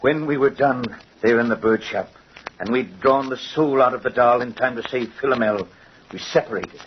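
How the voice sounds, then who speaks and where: An actor playing a cruel, sardonic middle-aged doll-maker in an old radio horror drama, with a theatrical, dramatic delivery. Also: male voice, medium pitch, moderate pace, natural voice. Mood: brooding. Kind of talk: vintage radio horror acting. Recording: muffled, noisy old radio recording.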